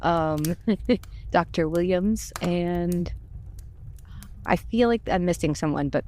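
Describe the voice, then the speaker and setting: A woman with a fairly low voice chats casually about her work as a voice actor. She is playful and rambles a little. Faint noise sits behind her. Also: slow, dark tone. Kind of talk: casual self-introduction chat.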